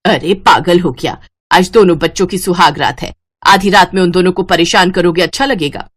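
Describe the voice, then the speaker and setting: A woman's voice: lowish voice, moderate pace, natural voice. Woman playing a bossy, scheming mother-in-law. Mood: irritated, dismissive.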